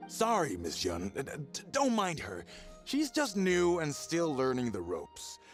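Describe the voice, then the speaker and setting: A man's voice: fairly high pitch, huge pitch swings, unhurried, faint music behind. A man playing a flustered, blustering newspaper editor in a video game, agitated and apologetic. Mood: flustered apology.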